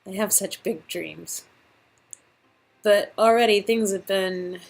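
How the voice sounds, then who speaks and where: A woman's voice: medium pitch, slow, vocal fry. Woman playing an earnest young aspiring actress. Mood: wistful, doubtful. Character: starry-eyed young aspiring actress from Iowa, earnest and teasing. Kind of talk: dramatic reading of visual novel game dialogue.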